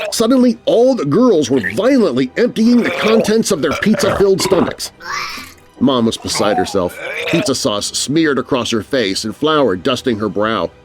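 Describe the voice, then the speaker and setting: A man narrating a scripted first-person scary story for children in an expressive, theatrical way. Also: mid-range voice.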